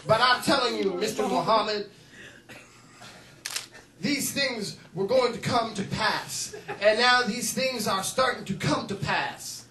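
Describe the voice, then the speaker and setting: Man putting on a high, forceful fiery political orator. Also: measured pace. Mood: emphatic, indignant. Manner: emphatic, repetitive rhetorical delivery. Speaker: comedian performing a monologue. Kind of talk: mock political oratory from a film. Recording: roomy, distant mic, faint noise.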